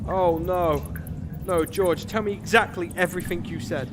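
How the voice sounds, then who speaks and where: A man's voice: high-pitched, fairly even intonation, normal speed, noisy background, natural voice. A man playing a pompous, blustering knight in a comedy sketch, grandiose and indignant in his delivery. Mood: indignant, alarmed. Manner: grandiose, indignant, mock-heroic. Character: pompous, blustering gentleman knight, foolhardy and self-important. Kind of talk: theatrical comedy sketch acting.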